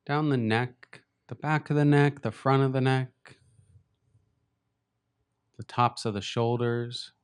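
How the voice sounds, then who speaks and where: A man leading a beginners' body scan meditation, speaking slowly and gently with long pauses. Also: medium pitch, fairly even intonation, clear, resonant tone. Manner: slow, gentle, unhurried guidance with long pauses. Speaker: meditation teacher. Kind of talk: guided meditation.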